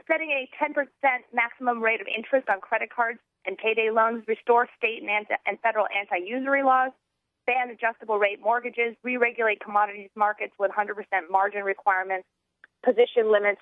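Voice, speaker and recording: A young American woman giving earnest political talk as an activist guest, halting and restarting as she goes. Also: a little high, no echo. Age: young adult. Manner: rambling, halting with false starts. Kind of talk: earnest political talk, partly reading out a platform. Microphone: telephone. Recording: narrow tinny phone line.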